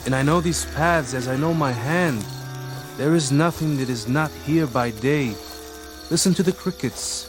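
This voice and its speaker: An actor playing a skeptical, self-assured village deputy in rural Mexico, protesting and plain-spoken in a radio drama. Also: male voice, on the high side, measured pace, lightly acted. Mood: reluctant, assured. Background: crickets and music behind the voice.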